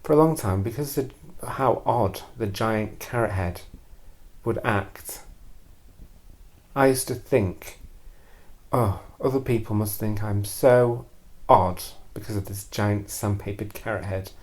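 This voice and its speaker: Low-voiced man in a deadpan confessional monologue. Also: slow. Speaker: storyteller performing a personal monologue. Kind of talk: confessional first-person audio monologue.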